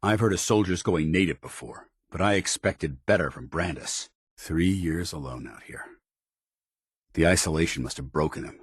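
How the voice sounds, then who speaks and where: A stern, duty-bound military officer from a video game. His voice is extremely low and rough-edged, recorded dry and close. He speaks in clipped, formal phrases with the weight of command. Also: normal speed, no echo. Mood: somber, reflective. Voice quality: deep, gravelly. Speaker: video game companion character. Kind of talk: scripted video game dialogue.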